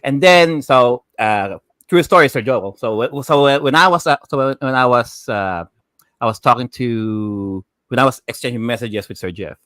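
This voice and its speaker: A man with a Filipino accent co-hosting a casual chat, telling stories haltingly and repeating words. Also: medium pitch, fairly bright tone, no echo. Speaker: show co-host.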